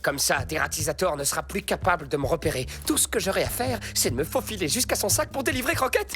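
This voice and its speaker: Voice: mid-range voice, expressive, quick pace, over music, lightly acted. A performer voicing an earnest, scheming male cartoon fox who talks eagerly like a loyal friend. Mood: confident. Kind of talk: animated cartoon acting.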